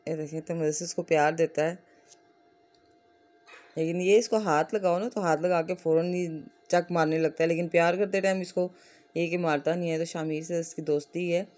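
A woman's voice: lowish voice, fairly even intonation, moderate pace, a little mellow. A woman with a Pakistani accent giving a casual, chatty vlog voice-over, explaining everyday family life in run-on storytelling. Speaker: family vlogger narrating her day.

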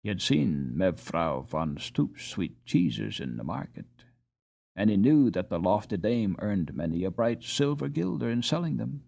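Expressive, husky male storybook narrator. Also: lowish voice, a little slow. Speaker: audiobook narrator.

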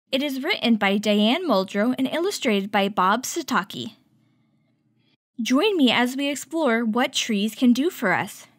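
A woman hosting a children's story time, reading a picture book aloud and teaching with clear, instructive delivery. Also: a little high, dry recording, studio quality. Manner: clear, instructive, addressing young children with questions.

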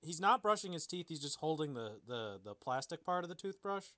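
A man's voice: on the high side, very animated, brisk, fairly bright tone. A man co-hosting a podcast in casual banter, joking and self-deprecating. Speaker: podcast co-host.

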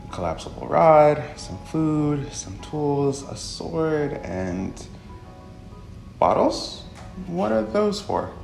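Man acting a lively, chatty delivery woman, echoey. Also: on the high side, slow, creaky, heavily acted. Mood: breezy, teasing. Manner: expressive, animated. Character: friendly, chatty young delivery woman, plainspoken and teasing. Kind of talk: visual novel voice acting. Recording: echoey room under music.

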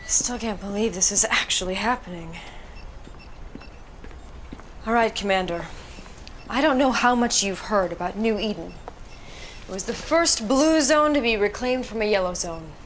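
Woman acting an earnest mid-pitched briefing officer. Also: expressive, moderate pace, long pauses, slight background noise, natural voice. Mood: concerned, earnest. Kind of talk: game mission briefing.

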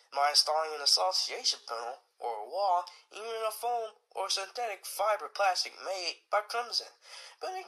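A man plays an earnest, satisfied worker interviewed for radio. His voice is bright and swings animatedly in pitch. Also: exaggerated voice, rough recording. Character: satisfied male tech worker giving earnest testimonial.

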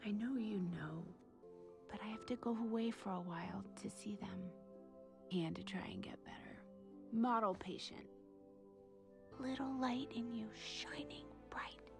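A woman's voice: mid-range voice, unhurried, vocal fry, pauses often. Whispery, tender young mother. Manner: hushed, whispery. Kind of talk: animated film dialogue. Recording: music under the dialogue.

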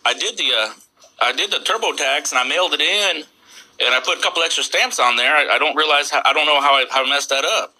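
Bright, sharp-voiced stammering man on the phone. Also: on the high side, fast. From United States, Texas. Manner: hesitant, stammering, repeats his words. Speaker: prank call recipient stringing along a scam caller. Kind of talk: casual phone conversation. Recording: noisy phone call recording with clicks.

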